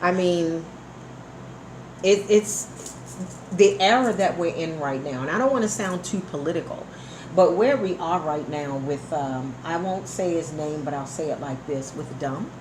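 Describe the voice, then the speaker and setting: A woman's voice: sits low, measured pace. A woman hosting a live radio interview chat in an effusive, encouraging way. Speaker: radio show host. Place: indoor room at a live online broadcast. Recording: roomy live sound, faint noise.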